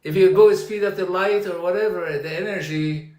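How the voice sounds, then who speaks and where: A man's voice: high voice, steady tone, moderate pace, darkish tone. A man calling into a live debate, arguing insistently and rambling as he talks over others. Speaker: debate caller. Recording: echoey room sound.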